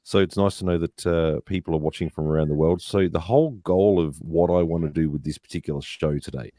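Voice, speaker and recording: Male stream host, low and warm, dry close sound, casual chatter with fillers. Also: fast, no echo. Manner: chatty, says "mate" and "uh". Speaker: livestream host. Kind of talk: hosting a live stream.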